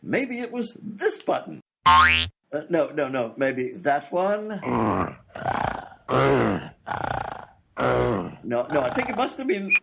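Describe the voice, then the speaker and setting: A man doing a dithering, high-swooping bumbling scientist. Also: very animated, a little slow, sharp tone, a little hiss or hum, heavily acted. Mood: flustered. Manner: hesitant, muddled, dithering. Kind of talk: comedy radio play.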